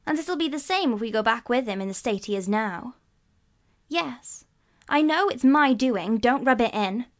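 A woman's voice: fairly high pitch, lively intonation, normal speed. A woman playing a blunt, scornful schoolboy in a storybook, a bossy and miserable older brother. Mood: scornful misery. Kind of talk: storybook dialogue acting.

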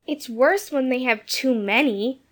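A man voicing an earnest young girl in a storybook, in a breathy, girlish way. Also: very high-pitched, a little slow, a bit bright, pitched above the performer's own voice. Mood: eager.

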